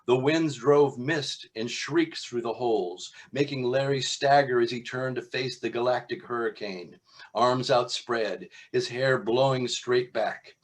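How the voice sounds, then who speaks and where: A man, an author, reading from his own novel in a measured literary style with informal asides. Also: medium pitch, steady tone.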